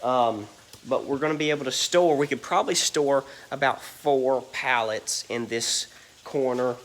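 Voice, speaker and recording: A man's voice: mid-range voice, unhurried, a little hiss or hum. A man giving a casual walk-through of a large warehouse, rambling with lots of ums and a stuffy, nasal voice from allergies. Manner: rambling, many ums and "you guys". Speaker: reseller giving a warehouse tour.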